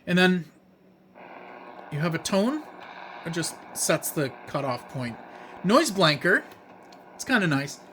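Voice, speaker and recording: A man's voice: on the high side, sing-song, takes it slowly, long pauses, pushed and bright, a little hiss or hum. A man presenting a hands-on gadget demonstration in a casual, unscripted way, explaining things in plain, informal words.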